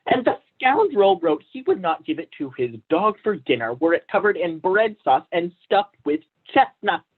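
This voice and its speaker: A man's voice: high voice, big pitch swings, moderate pace, slightly rough, narrow, tinny audio, exaggerated voice. A young man, a student actor, playing a pompous, vain, blustering poet and husband in a staged reading of a period comedy, speaking with stiff formality. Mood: aggrieved, vain. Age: young adult.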